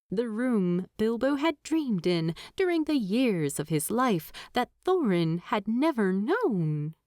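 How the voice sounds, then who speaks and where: A woman narrating fiction in an audiobook style, with unhurried, expressive and deliberate phrasing. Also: medium pitch, no room sound, clean studio sound.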